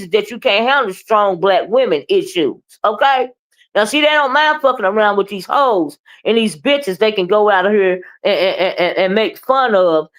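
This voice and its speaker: Loud, boisterous woman ranting. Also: mid-range voice. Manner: blunt, profane, keeps asking "okay?" and "right?"; moody, confrontational. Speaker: live streamer and commentator. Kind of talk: ranting live commentary.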